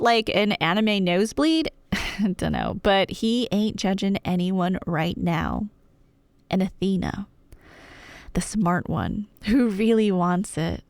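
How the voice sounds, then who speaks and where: A woman's voice: mid-range voice, no echo. A woman telling a scripted story in a casual, chatty way, with playful, wry asides as an ASMR roleplay storyteller. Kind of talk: casual, scripted myth retelling.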